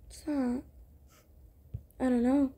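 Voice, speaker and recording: A woman's voice: high-pitched, level tone, slow pace, dark tone, slightly rough. A woman telling a cartoon roleplay story, acting out the everyday dialogue herself.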